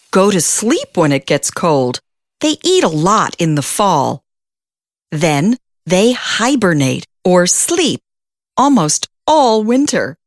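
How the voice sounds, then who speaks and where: A woman reading a children's storybook aloud as an expressive narrator, with deliberate phrasing and drawn-out emphasis. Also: medium pitch, no echo, studio quality.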